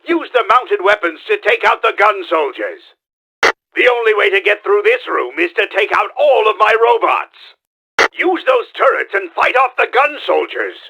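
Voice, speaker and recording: A scheming video game villain, a mad-genius commander with a high, bright, forceful voice and a gravelly creak. He is pompous and theatrical, barking orders with great bluster. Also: expressive, moderate pace, lo-fi sound, full character voice. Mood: urgent, commanding. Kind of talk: video game character voice lines.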